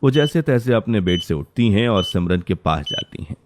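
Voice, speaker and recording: Deep, expressive male story narrator. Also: moderate pace. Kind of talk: scripted dramatic narration.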